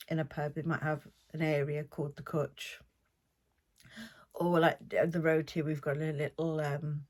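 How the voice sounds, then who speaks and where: A woman's voice: low-pitched, darkish tone. Chatty older South Welsh woman. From South Wales. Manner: chatty, meandering, homely. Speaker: podcast host. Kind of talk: casual podcast chat.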